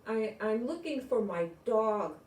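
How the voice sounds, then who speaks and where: A woman's voice: on the high side, animated, slow pace, a little mellow, echoey room. A woman voicing a shy, worried young boy from a children's storybook, with a hesitant manner. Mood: timid, stammering. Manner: hesitant, stammering when nervous.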